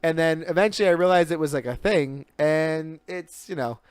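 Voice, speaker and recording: A man's voice: on the high side, normal speed, clean tone, no room sound. A young man hosting a podcast, loose and joking, swearing casually as he tells his own story. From grew up in Singapore. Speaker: podcast host.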